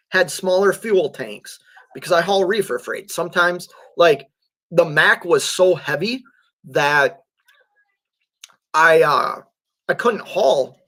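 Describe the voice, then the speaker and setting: A man with a somewhat high voice chats loosely with his live audience as a truck driver. His voice is fraying toward hoarseness. He halts often, clears his throat and leans on fillers. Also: fairly bright tone. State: voice going hoarse. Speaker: truck driver and livestream host. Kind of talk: casual livestream chat reading viewer comments.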